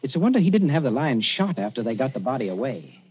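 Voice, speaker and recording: A man's voice: medium pitch, sing-song, quick pace, breathy edge. An actor playing a shrewd younger man in a radio drama, coolly suspicious and pointed in his questioning. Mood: probing, suspicious. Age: young adult. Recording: dull lo-fi radio recording.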